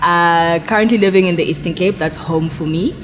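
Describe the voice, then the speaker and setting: A woman's voice: on the low side, brisk, music in the background, rough recording. A woman in her twenties, originally from Congo, chatting openly as an interview guest and laughing easily. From Democratic Republic of Congo. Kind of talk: casual interview chat.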